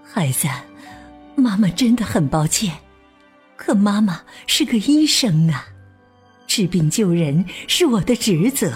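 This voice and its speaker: A woman playing a gentle, dutiful doctor mother with a soothing, earnest manner in a children's radio drama. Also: mid-range voice, big pitch swings, measured pace, breathy edge, soft background music, heavily acted. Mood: apologetic, earnest.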